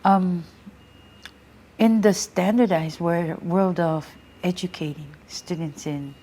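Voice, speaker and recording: A middle-aged woman from American Samoa whose first language is Samoan, telling her story in a relaxed interview full of fillers and restarts. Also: fairly low pitch, slow pace. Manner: many fillers and restarts, laughs readily. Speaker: guest, Samoan language teacher. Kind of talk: casual interview, reflective storytelling.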